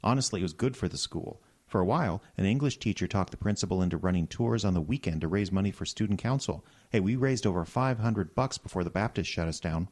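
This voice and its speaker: A man's voice: low-pitched, quick pace, few pauses, a little mellow. A man acting a glib, enterprising radio station worker in an audio comedy drama, wry and chatty. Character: glib, enterprising male small-town radio station newcomer.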